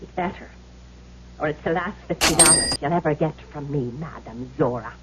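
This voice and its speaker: An actress playing a skeptical, demanding wealthy widow in a vintage radio drama. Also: female voice, deep voice, moderate pace, close to an everyday voice. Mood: demanding, impatient. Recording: muffled, noisy old radio recording.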